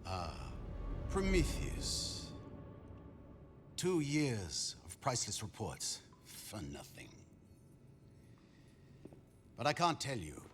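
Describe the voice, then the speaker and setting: General, lively swooping intonation. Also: male voice, medium pitch, music in the background, exaggerated voice. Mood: resigned. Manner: measured, deliberate, courteous. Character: captured German general, composed, philosophical, weary war strategist.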